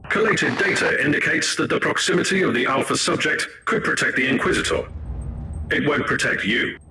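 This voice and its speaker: An actor playing an emotionless machine-priest in a sci-fi video game, speaking in a clinical, matter-of-fact way. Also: male voice, on the high side, lively intonation, fairly quick. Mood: clinical warning. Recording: noisy ambience behind the voice.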